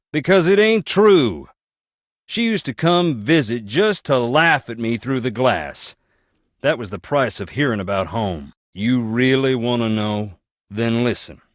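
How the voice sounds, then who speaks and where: A man's voice: lowish voice, a bit bright, no room sound, studio quality. An actor playing a brooding, plain-spoken man from a rich, troubled old family, talking in a folksy, wry and bitter way in a video game. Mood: angry, defensive, then guarded, bitter. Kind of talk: video game character dialogue.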